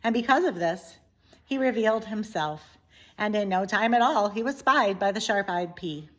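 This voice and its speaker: A woman reading a children's story aloud in an expressive, deliberate way with dramatic pauses. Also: mid-range voice. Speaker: teacher reading a book aloud.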